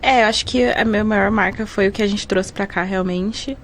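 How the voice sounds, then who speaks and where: A woman's voice: mid-range voice, fairly even intonation, moderate pace, slight background noise. Young Brazilian woman, mid-range voice, casual conversational student podcast guest. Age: young adult. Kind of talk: casual chat.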